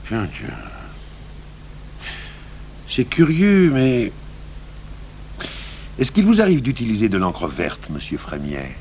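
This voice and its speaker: An actor playing a gruff, brooding, stubborn French police commissioner in a 1980s radio drama. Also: male voice, lowish voice, big pitch swings, slow, long pauses, warm tone, full character voice. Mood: dry, guarded. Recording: noisy old radio broadcast recording.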